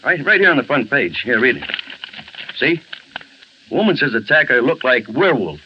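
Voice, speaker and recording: A man's voice: mid-range voice, very animated, moderate pace, heavy background noise, natural voice. A man playing a scared, talkative young newsboy witness in a 1940s radio drama, stammering and rambling in slangy speech. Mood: shaken, eager. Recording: dull vintage radio recording.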